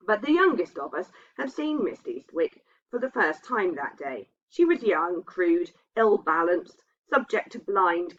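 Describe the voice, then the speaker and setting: A woman reading a literary ghost story aloud as a narrator. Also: on the low side. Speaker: reader. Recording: dull, muffled lo-fi sound.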